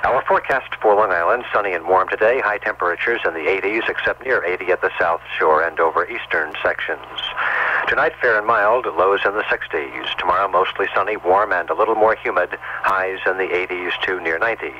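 A man's voice: low voice, a little fast. A man delivering a scripted weather forecast as a radio meteorologist, in clipped announcer phrases. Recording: dull, noisy 1970s radio recording.

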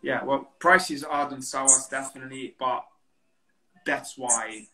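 A man's voice: mid-range voice, fairly even intonation, takes it slowly, bright, forceful tone. A man in his thirties hosting a casual video call interview from home, asking hesitant questions with fillers. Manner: hesitant questions with fillers, non-native phrasing. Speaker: host. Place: living room at home. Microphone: laptop webcam on video call. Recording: roomy webcam audio.

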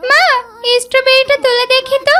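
A woman voicing an excitable, wide-eyed little girl in a children's cartoon. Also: extremely high pitch, brisk. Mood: amazed. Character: excitable, pious little village girl Maisha.

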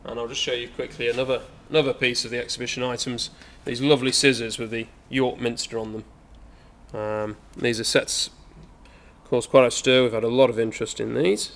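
Young auctioneer, chatty and hesitant. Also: male voice, mid-range voice, little pitch movement, normal speed, creaky. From England. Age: young adult. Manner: chatty, hesitant with many fillers. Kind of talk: informal walkaround sales preview. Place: large indoor auction saleroom.

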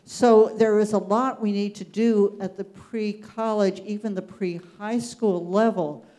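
A woman speaking in a measured, earnest way, making an audience comment at a panel discussion. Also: mid-range voice, fairly even intonation, warm tone. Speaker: audience member, education committee chair. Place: large theatre hall.

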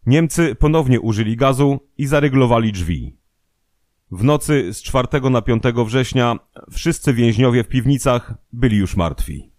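A man's voice: medium pitch, moderate pace, a little mellow, no room sound, clean studio sound. A man narrating a scripted historical true-crime audio programme.

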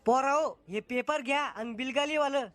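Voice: high voice, moderate pace, no room sound. A voice actor playing a strict rural male schoolteacher, blunt and scolding. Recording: loud music under the voice.

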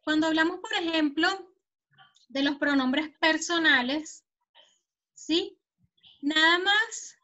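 A woman's voice: sits high, fairly bright tone. A woman with a Venezuelan accent teaching an online Spanish lesson, explaining patiently and checking that the students follow. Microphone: computer mic on a video call. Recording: muffled video call audio.

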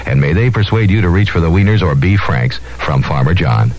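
A man reading a scripted 1970s radio commercial as a measured pitchman. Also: lively intonation, darkish tone. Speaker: commercial announcer. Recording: vintage AM radio aircheck.

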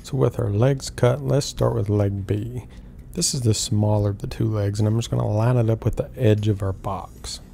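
A middle-aged man calmly explaining woodworking steps one at a time in an instructional voice-over. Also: on the low side, moderate pace, gravelly, faint music behind. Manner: calm, step-by-step explaining. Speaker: woodworking host.

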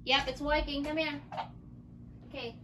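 A woman's voice: high-pitched, steady tone, unhurried, pushed and bright. Woman chatting to a child, noisy recording. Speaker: mother helping her child on camera. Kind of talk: casual home chat with a child. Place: bedroom at home. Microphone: camera mic, speaker off camera.

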